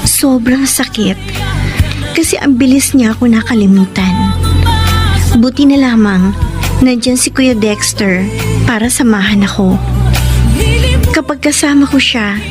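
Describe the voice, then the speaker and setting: A woman acting in a Filipino radio drama as a soft-hearted young wife left by her husband, with a Filipino accent and an expressive, plaintive delivery. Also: on the high side, a little slow, long pauses, natural voice. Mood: wistful, sad. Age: young adult. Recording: music behind the voice.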